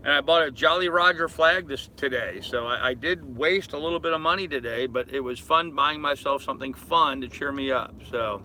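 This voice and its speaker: A man's voice: medium pitch, fairly quick, few pauses, sharp tone, slight background noise, rough recording. An older man hosting a casual live chat from a boat, rambling as he reads and answers comments and addresses viewers familiarly. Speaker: live-stream host. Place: aboard a boat at anchor.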